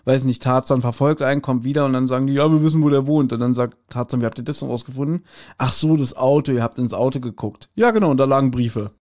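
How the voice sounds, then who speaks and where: A man's voice: medium pitch, quick pace, a little mellow. A man co-hosting a podcast, recapping a story in casual, conversational talk and often checking for agreement. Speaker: podcast co-host. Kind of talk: casual chat recapping an audio drama.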